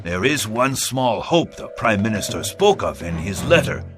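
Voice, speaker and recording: Grave Korean admiral, video game drama. Oratorical, commanding, big swings in pitch, music behind. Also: male voice, sing-song, normal speed, a bit bright. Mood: grim, despairing. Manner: solemn, oratorical delivery.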